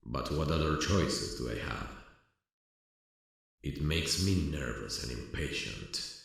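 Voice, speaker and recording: A man playing a restless amateur sleuth in an ASMR roleplay, speaking in a low-key, brooding way. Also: animated, takes it slowly, vocal fry, a bit bright, slightly rough, full character voice. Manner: low-key, brooding inner monologue and plain dialogue. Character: restless amateur sleuth working alongside a detective.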